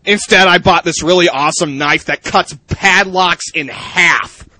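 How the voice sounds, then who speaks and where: A man's voice: sits high, a little slow, bright, forceful tone, dry recording, exaggerated voice. A man playing an irritable, foul-mouthed young secret agent, sarcastic and exasperated, in a video game parody. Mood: grumbling, sarcastic.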